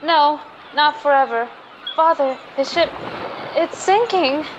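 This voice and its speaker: A woman's voice: high-pitched, slow, lo-fi sound, noisy background. A woman playing a devoted, lovestruck young woman, earnest and melodramatic in an old-time radio drama. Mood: pleading, distressed.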